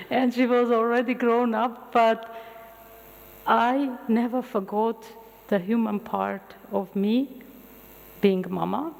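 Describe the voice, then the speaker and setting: A middle-aged woman whose first language is Armenian gives an open university lecture in English, telling stories with halting restarts. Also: mid-range voice, vocal fry. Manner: animated storytelling with halting restarts. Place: large lecture hall. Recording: long hall reverb.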